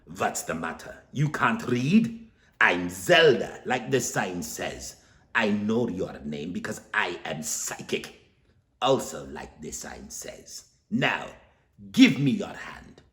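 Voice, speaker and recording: A man's voice: fairly low pitch, very animated, slow, exaggerated voice. A man voicing a bossy, stout female psychic with a thick accent, who talks in a brusque, commanding way. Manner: brusque, commanding, loud and drawn out.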